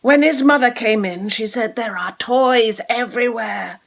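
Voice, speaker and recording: A woman's voice: fairly high pitch, unhurried, gravelly. A woman voicing a wise, mysterious male sage in a children's storybook, speaking in a riddling, storytelling manner.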